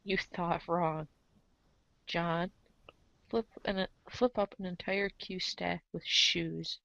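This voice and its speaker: A woman's voice: on the low side, fairly even intonation, slow pace, gravelly, pushed and bright, no room sound, dull audio. A woman reading a comic aloud as the show's narrator, theatrical and playful, slipping into casual asides. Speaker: narrator of a comic read-along show.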